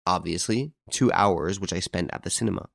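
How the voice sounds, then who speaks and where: A man's voice: deep voice, brisk, gravelly, a bit bright, no echo, clean studio sound, exaggerated voice. A man acting a young murder suspect in an audiobook, mocking and flurried. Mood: guarded, jesting. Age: young adult.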